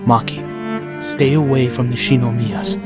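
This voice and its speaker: A man's voice: medium pitch, level tone, a little slow, loud music behind the voice, lightly acted. A man playing a bitter, commanding father of a wealthy family in an anime radio play. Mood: stern, bitter. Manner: grave, commanding.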